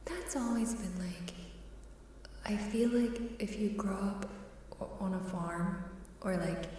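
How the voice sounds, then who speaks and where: Woman, ASMR livestream chat, slow and mellow, soft whispering, playful and coy, deep reverb. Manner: soft-spoken, often whispering. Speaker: ASMR live streamer. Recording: reverberant hall-like echo, faint hiss, distant.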